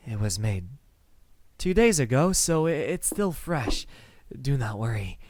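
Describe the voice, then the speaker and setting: A man playing a lonely, centuries-old vampire suitor in an ASMR roleplay, speaking softly and haltingly with tender words that slip into whispers. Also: mid-range voice, big pitch swings, no room sound. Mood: attentive, flustered. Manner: soft-spoken, halting, tender, slipping into whispers.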